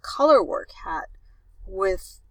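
A woman's voice: mid-range voice, animated, slow pace, no echo. A woman co-hosting a knitting podcast, talking in a chatty, enthusiastic way while recording outdoors at the beach. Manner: chatty, enthusiastic, trails off into fillers. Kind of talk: casual chat.

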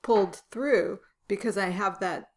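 Relaxed woman with a slightly scratchy voice. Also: mid-range voice, a little slow. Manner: relaxed, chuckling, describes details. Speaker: podcast co-host. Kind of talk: casual chat.

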